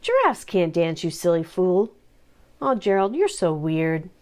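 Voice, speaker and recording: A woman voicing blunt, excitable jungle animals who exclaim their opinions in a storybook. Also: fairly low pitch, a little slow. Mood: mocking.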